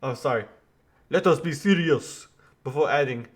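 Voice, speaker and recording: A man's voice: medium pitch, moderate pace, pitched below the performer's own voice, lightly acted. A man putting on a poor French accent to play a stiff, earnest male leader. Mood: mock-serious.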